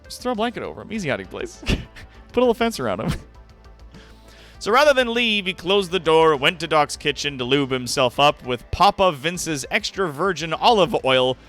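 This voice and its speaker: A man's voice: fairly high pitch, big pitch swings, moderate pace, fairly bright tone, faint music behind. A man hosting a livestream, giving a dramatic reading of fan fiction with wry, sweary asides to viewers. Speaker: livestream host.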